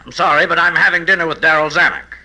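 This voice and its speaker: A man playing a brisk, dismissive Hollywood studio producer, glib and patronizing, in 1950s radio comedy. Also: a little high, fairly even intonation, sharp tone, a little hiss or hum, poor audio. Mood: affable.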